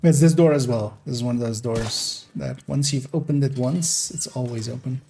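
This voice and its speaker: A man from Iran with an accent that mixes Iranian, American and Dutch English, giving relaxed, rambling gameplay commentary as a streamer. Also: medium pitch, moderate pace, creaky, slight background noise. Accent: Iranian mixed with American and Dutch English. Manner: relaxed, rambling explanations with little laughs.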